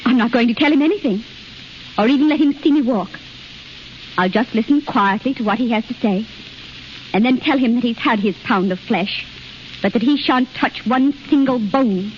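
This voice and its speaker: Through a muffled vintage broadcast comes a woman acting a proud, guarded society daughter. Her voice is fairly high, refined and dramatic, as in a 1930s radio play. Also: normal speed, slight background noise, lightly acted. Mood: indignant, resolute. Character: proud, wealthy, lame doctor's daughter, guarded.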